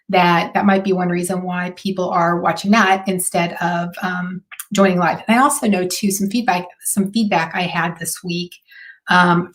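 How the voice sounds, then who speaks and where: A woman with a hoarse, raspy voice hosts a live marketing stream. Her voice sits fairly low, and she chats along loosely with plenty of fillers. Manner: chatty, with frequent fillers. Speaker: host.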